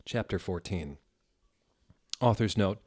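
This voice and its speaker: A man's voice: low voice, expressive, takes it slowly, darkish tone, no room sound, studio quality. A man hosting a comedic dramatic reading, theatrical in delivery and dropping amused, exasperated asides.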